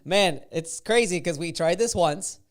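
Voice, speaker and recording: A man in his thirties hosting a casual podcast interview, energetic and chatty, laughing easily as he talks. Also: sits high, unhurried. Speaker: podcast host.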